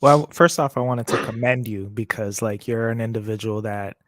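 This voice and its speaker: A man's voice: fairly low pitch, fairly even intonation, normal speed, a bit bright, no echo, clean studio sound. A man as a guest on a podcast interview, speaking earnestly and searching for words as he goes. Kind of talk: casual conversational interview. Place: remote video call.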